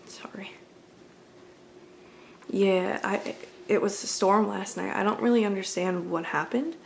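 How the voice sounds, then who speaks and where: A woman's voice: medium pitch, measured pace, creaky, slight background noise. A woman doing an ASMR roleplay as a friendly, accommodating video game store clerk, chatting in a casual, polite and apologetic way. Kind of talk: ASMR roleplay, casual customer-service chat.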